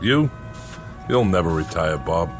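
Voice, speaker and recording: An actor playing an easygoing plant manager, wry and placating, in a radio drama. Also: male voice, expressive, measured pace, faint music behind. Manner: low-key, placating, wry. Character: easygoing male plant manager relaying boss's demands.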